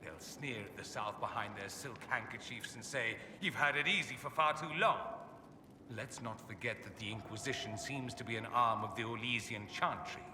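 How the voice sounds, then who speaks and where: An actor playing a witty, haughty mage from a fantasy empire, speaking with suave, theatrically arch sarcasm in a video game. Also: male voice, mid-range voice, normal speed, distant mic, close to an everyday voice. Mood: wry disdain. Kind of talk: video game character dialogue. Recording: echoey hall, clear background ambience noise.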